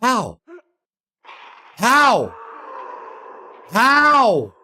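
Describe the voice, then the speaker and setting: A man's voice: very high voice, wildly swooping pitch, drawn-out words, bright, forceful tone, slight background noise. A man streaming a survival game, giving live commentary, repeating his phrases and yelping with mild exclamations when startled.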